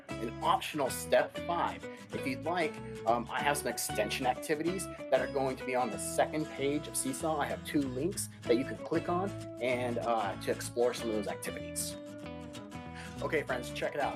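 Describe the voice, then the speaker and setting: Upbeat male music teacher, lower voice. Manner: upbeat, encouraging, sings demo notes. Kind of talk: remote lesson for young children.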